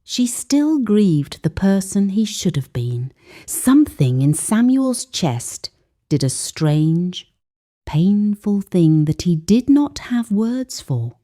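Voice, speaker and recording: A woman narrating a scripted dramatic story in a solemn, measured manner with deliberate pauses for emphasis. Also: medium pitch, big pitch swings, dark tone.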